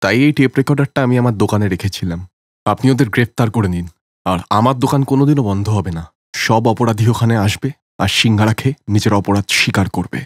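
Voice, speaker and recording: Man voicing a low, solemn accusing ghost. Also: fast talker, no room sound, studio quality, natural voice. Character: vengeful male ghost of a murdered shopkeeper, solemnly accusing. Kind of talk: cartoon story voice acting.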